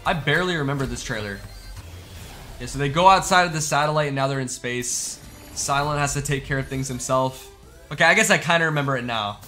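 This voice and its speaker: A young man giving casual reaction commentary as a gaming YouTuber, informal and slangy, thinking out loud as he goes. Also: medium pitch, a little fast, faint music behind.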